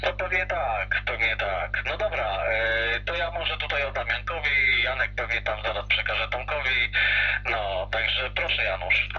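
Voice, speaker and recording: A man's voice: mid-range voice, unhurried, sharp tone. Man over muffled, crackly CB radio. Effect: heard through CB radio. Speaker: CB radio operator. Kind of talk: casual radio chat. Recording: muffled, noisy CB radio reception with hum.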